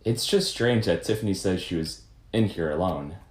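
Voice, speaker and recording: A man's voice: low voice, moderate pace, roomy sound, close to an everyday voice. A man playing a suspicious, confrontational detective who questions pointedly in a puppet-show murder mystery. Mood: skeptical. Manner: pointed, skeptical.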